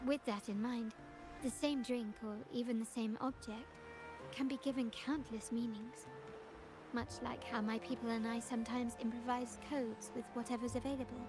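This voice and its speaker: An actress voicing a shrewd, composed noblewoman agent in a video game, speaking in formal, measured, articulate phrases. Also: a little high, fairly even intonation, lightly acted. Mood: composed, assured. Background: game music.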